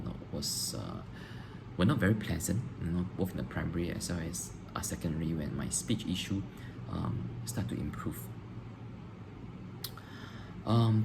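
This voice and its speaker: A man, a teacher answering interview questions on video, pausing often with ums and restarting phrases. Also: sits low, expressive, gravelly. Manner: hesitant, frequent ums and restarts. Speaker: teacher applying for a job. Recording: noisy background hiss.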